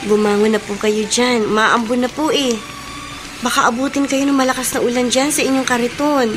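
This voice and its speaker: Woman as high-voiced forgiving young wife. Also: steady tone, moderate pace, noisy background, lightly acted. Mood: gentle urging. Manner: gentle, earnest, respectful. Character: forgiving, compassionate young wife rescuing her aunt. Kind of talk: sentimental radio drama acting.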